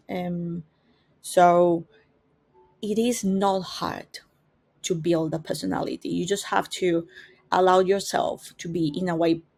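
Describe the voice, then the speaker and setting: A woman's voice: fairly low pitch, creaky, a little mellow, slightly rough. A woman with a strong Spanish accent, a native Spanish speaker, teaching an informal marketing class in a conversational way and often searching for words. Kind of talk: informal master class talk.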